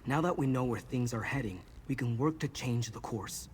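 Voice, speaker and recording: An actor playing a resolute, level-headed ally in a fantasy video game, speaking firmly and explaining things. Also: male voice, mid-range voice, normal speed, slight background noise, close to an everyday voice. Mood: reassuring. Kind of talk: video game dramatic acting.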